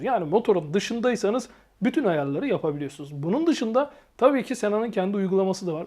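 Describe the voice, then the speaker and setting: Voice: medium pitch, warm tone. A reviewer giving a casual, explanatory product review and addressing viewers informally. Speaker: motorcycle gear reviewer and channel host.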